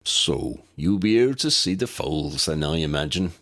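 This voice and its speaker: A man's voice: rumbling low, lively intonation, unhurried, fairly bright tone, exaggerated voice. A man voicing a big, jovial, rustic gamekeeper teacher in an audiobook, hearty and folksy. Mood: hearty, welcoming. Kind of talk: audiobook character voice.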